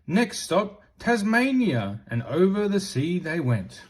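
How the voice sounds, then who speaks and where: Animated man reading slowly to children. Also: high voice, slightly rough. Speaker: storybook reader. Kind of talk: expressive read-aloud narration for children.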